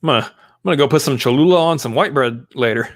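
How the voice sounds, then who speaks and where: This is a man hosting a casual live stream, voice sitting at an ordinary middle pitch. He rambles from joke to plug with upbeat, offhand energy. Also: fast talker. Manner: jokey, rambling, upbeat plugging. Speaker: podcast host.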